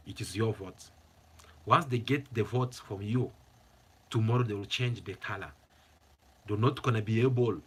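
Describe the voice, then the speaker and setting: A man hosting his own commentary channel, speaking English as a second language in a passionate, emphatic way and repeating phrases for stress. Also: mid-range voice. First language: not English. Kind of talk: opinionated vlog commentary.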